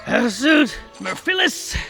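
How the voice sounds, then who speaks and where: A brash comic fantasy sergeant barks in clipped shouts. The pitch is pushed very high and jumps around, with gravel in it. Music plays clearly behind. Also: male voice, sing-song, takes it slowly, exaggerated voice. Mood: brusque, loud. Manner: clipped shouted roll-call delivery. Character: barking comic army sergeant, male, brash.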